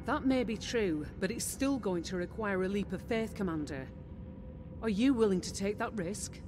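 An actress voicing a steady, loyal female officer in a video game, giving briefings in a measured, serious way. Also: medium pitch, noisy background, close to an everyday voice. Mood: wary, serious. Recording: music behind the voice.

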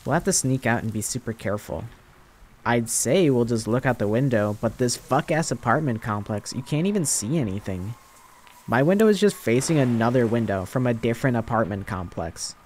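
A man's voice: medium pitch, a little fast, lightly acted. Man playing a flippant slacker boyfriend, noisy ambience. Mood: casual, reassuring. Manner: casual, foul-mouthed, deadpan rambling. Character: young slacker boyfriend sheltering his crush from zombies, flippant. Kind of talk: ASMR audio roleplay.

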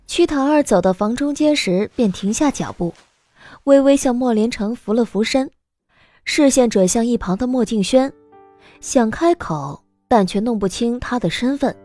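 A woman reading scripted audiobook narration as an expressive storyteller. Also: fairly high pitch, normal speed, dry recording, faint music behind.

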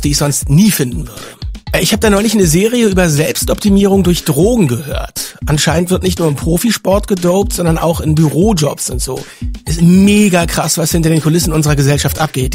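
A man's voice: a little high, darkish tone. A man hosting a podcast, reading scripted narration.

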